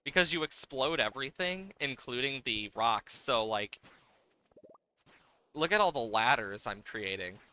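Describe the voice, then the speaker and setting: A man's voice: medium pitch, expressive, measured pace, pushed and bright. A man giving casual livestream game commentary, playfully exasperated, swearing and exclaiming at the game as he plays.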